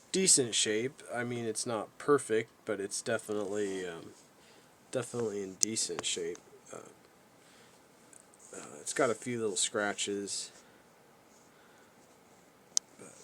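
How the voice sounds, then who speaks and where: A man with a fairly low voice talks through a piece of gear in a casual, unscripted way. His delivery is halting and low-key, rambling a little as he reviews it. Steady noise sits behind him in an amateur recording. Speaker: radio reviewer, video host. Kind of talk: casual unscripted product walkthrough.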